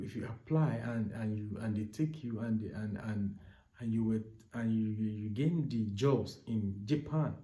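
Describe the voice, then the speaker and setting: Nigerian guy, warm mellow voice, keen and repetitive, roomy echo. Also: medium pitch. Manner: repetitive, enthusiastic, stacks repeated words and restarts. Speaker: recruitment agent. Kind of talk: informal advice vlog. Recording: echoey room.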